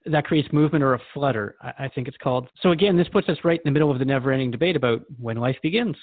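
A man acts a male radio interviewer in a drama, thoughtful and mildly skeptical. He speaks at a middling pitch in a reflective, questioning manner. The recording is dry, with a narrow telephone-like sound. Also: rapid-fire, no echo, lightly acted. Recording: narrow phone-like band, dry.

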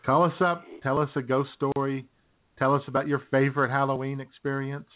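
A man's voice: medium pitch, little pitch movement, brisk. A man co-hosting a comedic radio show, chatting with frequent laughs and mock outrage. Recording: phone-like radio audio.

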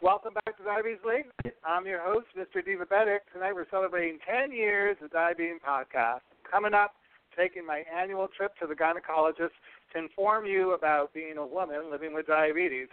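A man's voice: high voice, brisk, smooth, phone-quality sound. A man hosting a podcast in an upbeat, scripted presenting style. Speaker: podcast host.

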